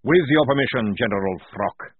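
A man plays a gruff old sergeant who barks every line in a rough, forceful roar. The voice is husky. It sounds dry and tinny, like a phone line. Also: medium pitch, animated, moderate pace, no room sound. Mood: roaring, defiant. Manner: barking, forceful, rough speech. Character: gruff loud old male army sergeant.

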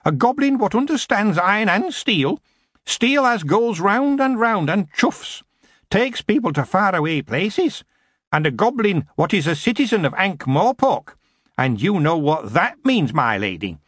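A cocky little goblin man with mangled grammar who needles royalty without flinching, as a male narrator puts him on. His voice sits raised and bouncy, with a husky grain. The sound is dry and close. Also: high-pitched, big pitch swings, unhurried, fairly bright tone, dry recording, pitched above the performer's own voice, exaggerated voice. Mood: defiant. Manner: broken grammar, cocky, mocking. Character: cheeky stocky male goblin, defiant and proud.

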